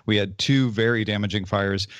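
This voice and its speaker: A man's voice: on the low side, fairly even intonation, moderate pace, no echo. A man from Illinois, USA, co-hosting a podcast in easygoing casual chat. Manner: easygoing, laughs often. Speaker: podcast co-host.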